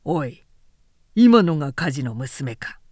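Woman as a brash, rough samurai fellow. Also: sits low, very animated, takes it slowly, a little mellow, dry recording, clean studio sound, voice pushed down, heavily acted. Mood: brash, teasing. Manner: blunt, familiar, swaggering. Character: big, rough, hard-drinking samurai man, brash friend. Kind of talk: storybook character reading.

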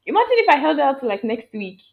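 A woman's voice: sits high, quick pace. Young woman guest on dull, lo-fi audio. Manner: animated storyteller, quotes herself often. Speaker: podcast guest. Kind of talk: casual chat.

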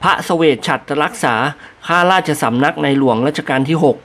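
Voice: medium pitch, normal speed, lightly acted. A reader voicing a humble, deferential male servant answering his masters politely in an audiobook.

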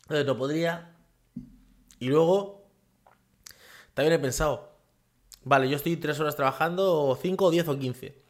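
A man's voice: on the high side, normal speed, long pauses. A man hosting a casual solo podcast from his home office, rambling with many fillers and tag questions. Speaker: podcast host.

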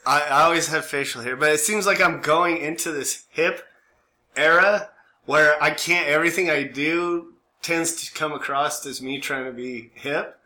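A man's voice: fairly high pitch, normal speed, sharp tone, roomy sound. An American man co-hosting a podcast, riffing casually in a goofy, rambling way with folksy slang. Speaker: podcast co-host. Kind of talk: casual chat, comic riffing. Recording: faint background noise.

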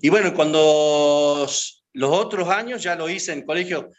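A man's voice: fairly high pitch, fairly even intonation, a little slow, highs cut off. A man, answering interview questions and reminiscing in a rambling way, with many hesitations and filler words. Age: 50s. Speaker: guest. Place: his home.

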